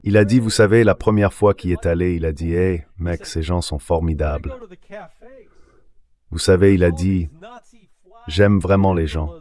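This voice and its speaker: A man's voice: low voice, steady tone, normal speed, long pauses, dark tone. A man giving political commentary as a guest, in a translated voice-over read by a synthetic voice.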